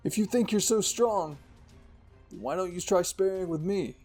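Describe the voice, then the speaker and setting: A man's voice: sits high, a little slow, a little mellow, faint music behind, pitched above the performer's own voice. A man voicing a stern, intimidating military commander in a fan-fiction reading, demanding and menacing. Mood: menacing challenge. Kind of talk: dramatic audiobook fan-fiction acting.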